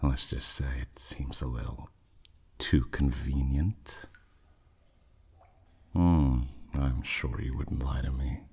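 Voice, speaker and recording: An exceptionally deep-voiced demon played by a man speaks slowly, with a husky rasp. He is a haughty, flirty buyer in an ASMR audio drama, drawling with sardonic, dismissive skepticism. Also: fairly even intonation, heavily acted. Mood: cool, skeptical. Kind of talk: scripted ASMR audio roleplay.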